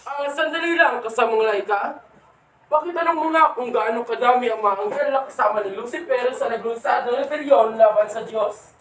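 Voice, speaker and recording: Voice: mid-range voice, moderate pace, a little hiss or hum, natural voice. An actor playing a formal radio news anchor who hands over to field reporters in a radio play. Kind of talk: mock radio newscast. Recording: echoey, distant mic, music behind.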